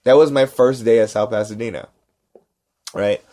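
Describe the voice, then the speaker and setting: A man tells stories in a casual podcast chat. He is animated and often checks in with "right?" He acts out the other people in his stories. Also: fairly low pitch, normal speed. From Los Angeles, United States. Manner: animated, acts out quoted voices, repeats "right?". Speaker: podcast cohost, stand-up comedian. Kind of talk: casual storytelling.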